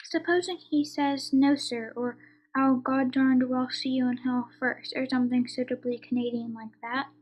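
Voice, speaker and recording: An actress playing a haughty, impatient older aristocrat in a mystery radio play, dry and brusque. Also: female voice, high voice, steady tone, moderate pace, darkish tone, lo-fi sound, slight background noise, natural voice. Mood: dry, skeptical.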